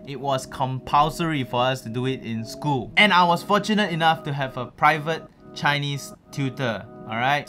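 Young Singaporean guy, vlog host, chatty and animated, studio mic, faint music under him. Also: on the high side. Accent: Singaporean English. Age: young adult. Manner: animated, gestures a lot, chatty asides. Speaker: youtuber host. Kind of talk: casual explanatory vlog. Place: small dark room with LED lights. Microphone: studio mic, headphones, seated at desk.

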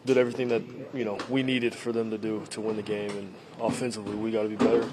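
A young man answering reporters' questions after a game, halting and repeating himself with many fillers, close to handheld mics in a locker room. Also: fairly low pitch, flat delivery, noisy background. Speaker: quarterback at a postgame press conference. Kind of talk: postgame press answers. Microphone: handheld reporter mics at close range.

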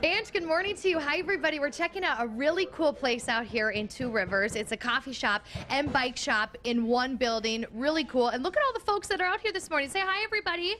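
A woman's voice: sits high, expressive, fast talker, hardly any pauses, bright, forceful tone, a little hiss or hum. A young woman field reporter doing a bubbly, upbeat live morning news segment inside a coffee shop, speaking into a handheld mic.

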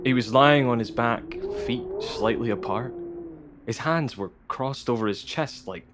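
An actor playing a touchy young male student who speaks haltingly in an audio drama. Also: mid-range voice, expressive, background noise. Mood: subdued, awed. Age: young adult.

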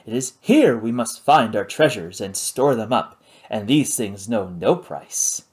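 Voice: medium pitch, lively intonation, a little slow, darkish tone, husky, pitched below the performer's own voice. Reader voicing a showy, mocking pirate captain. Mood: grandiose. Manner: grand, mocking, showy delivery. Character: theatrical male pirate captain, mock-genteel and menacing.

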